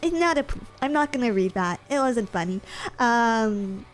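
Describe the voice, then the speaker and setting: A woman's voice: a little high, lively intonation, moderate pace, faint music behind. A young woman streamer in casual chat with viewers, sniffly from allergies, rambling with lots of fillers and giggles.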